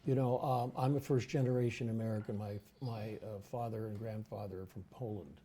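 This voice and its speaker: An older man hosting a casual talk show, speaking in a halting way with many fillers and restarts. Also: fairly low pitch, fairly even intonation, dark tone, a little hiss or hum. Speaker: host. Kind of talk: casual chat. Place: studio.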